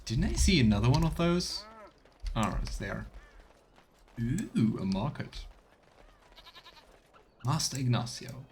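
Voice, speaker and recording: A man's voice: mid-range voice, very animated, slow, long pauses, a little mellow, a little hiss or hum. A man doing casual let's play commentary as a game streamer, chatting and reading dialogue choices aloud.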